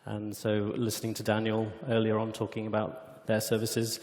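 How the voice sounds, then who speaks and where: A man's voice: fairly low pitch, completely flat delivery, moderate pace, a little mellow. A man speaking as an executive at a conference panel, explaining things in an articulate way. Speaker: company executive presenting at a conference. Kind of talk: conference presentation and panel discussion. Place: conference hall stage. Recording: hall reverb from conference stage.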